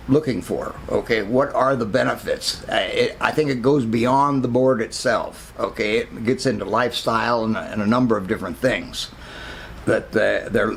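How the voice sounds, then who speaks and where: An older man with a gravelly, fry-laden voice, speaking at a middle pitch as he gives a relaxed marketing lecture to camera. He talks haltingly, with plenty of ums and restarts, and faint noise sits behind him. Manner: halting, many fillers and restarts. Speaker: marketing professor. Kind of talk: casual video lecture.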